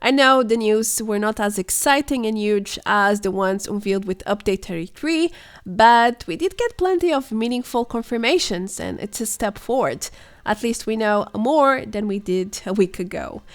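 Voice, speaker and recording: A young woman, hoarse and sounding unwell, giving scripted voice-over commentary as a gaming news host, speculative and explanatory in a measured way. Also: on the high side. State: hoarse, voice weakened by illness.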